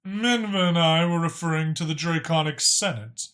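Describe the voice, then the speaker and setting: Haughty dragon official, acted by a male narrator: raised pitch, bright and forceful, dryly exasperated, formal audiobook delivery. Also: normal speed, natural voice. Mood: lecturing, patient. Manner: precise, haughty, sardonic.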